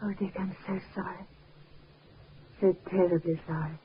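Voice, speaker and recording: A woman's voice: on the low side, level tone, normal speed, natural voice. Genteel young wife played by a woman, old radio. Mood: tender, subdued. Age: young adult. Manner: polite, well-bred, emphatic. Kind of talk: 1950s radio drama acting. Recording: tinny, noisy 1950s radio recording.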